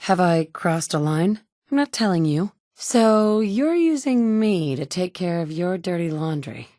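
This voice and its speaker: A tough, wisecracking adventurer, speaking in a lowish voice. Her lines are short, dry and sardonic, and the sound is close and dead with no room around it. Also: very animated, a little slow, dark tone, dry recording. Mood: cool. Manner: terse, dry, sardonic delivery. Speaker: player character. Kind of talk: video game dialogue.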